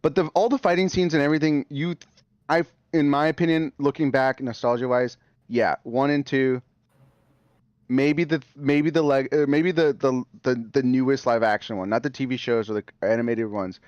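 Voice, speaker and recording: A man's voice: medium pitch, moderate pace, a little mellow, no echo. Rambling man on a dull, lo-fi mic. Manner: rambling, full of fillers and restarts. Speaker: podcast guest. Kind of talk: casual podcast chat.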